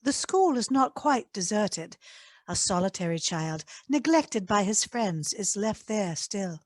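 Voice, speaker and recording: Radio drama role: calm ghost guide, mid pitch with lively movement, solemn, dry sound. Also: female voice, unhurried, dry recording. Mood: solemn, gentle. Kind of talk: theatrical radio play acting.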